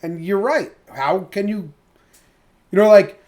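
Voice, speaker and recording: A man with a slightly high voice talks sports as a podcast co-host. His delivery is casual and colloquial, with loose swearing thrown in, like chatting with friends. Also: unhurried, darkish tone.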